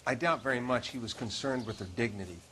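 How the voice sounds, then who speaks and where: An actor playing a dry, matter-of-fact medical examiner at a crime scene in a video game. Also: male voice, mid-range voice, quick pace, pushed and bright, slight background noise, close to an everyday voice. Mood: clinical, dry. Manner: clinical, dry. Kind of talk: video game cutscene acting.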